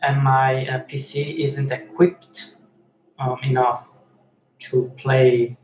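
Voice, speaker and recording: A man from Switzerland, hosting a live-stream chat and reading viewer messages aloud, speaking in a halting way with many fillers and restarts. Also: medium pitch, flat delivery, darkish tone. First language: Swiss German and Italian. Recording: echoey room with faint noise.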